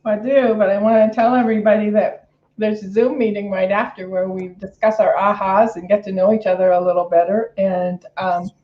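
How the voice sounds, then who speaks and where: A woman minister leads affirmations and prayer in an online service. Her voice sits at an average pitch. The room echoes around her and the audio is dull and lo-fi. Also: fairly even intonation, brisk. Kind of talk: call-and-response affirmation, informal announcements and spoken prayer.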